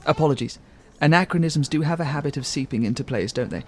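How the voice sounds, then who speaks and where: Pompous theatre critic, highish voice. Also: male voice, fast talker. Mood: pompous, arch. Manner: pompous, arch, courteous. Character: pompous, theatrical male critic narrating the play. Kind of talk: radio play acting with narration to the listener.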